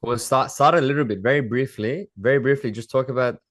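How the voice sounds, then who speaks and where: A man's voice: medium pitch, brisk, fairly bright tone. A man co-hosting a casual podcast, asking probing questions with a teasing edge. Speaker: podcast co-host.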